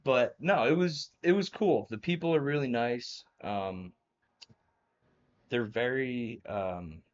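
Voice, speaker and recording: A man's voice: medium pitch, slow pace, muffled recording. A young man from New Jersey telling stories as a guest in a casual interview, rambling with lots of fillers and laughing often. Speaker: guest, former college wrestler.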